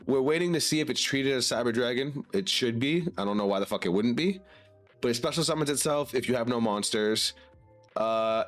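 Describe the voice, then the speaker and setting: A man streaming casually to his chat while congested and sick, laid-back and swearing now and then. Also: mid-range voice.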